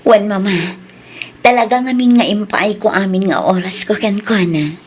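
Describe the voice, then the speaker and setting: A woman's voice: medium pitch, moderate pace, a little hiss or hum, lightly acted. An actress with an Ilocano accent playing a devoted, grateful young wife and mother in a radio drama, in everyday dramatic speech. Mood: happy, grateful.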